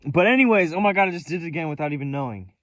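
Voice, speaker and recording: A man's voice: fairly high pitch, animated, rapid-fire, fairly bright tone, dull audio. A man telling a rambling story in a vlog, profane and scattered, restarting sentences and commenting on his own spiraling thoughts. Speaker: vlogger.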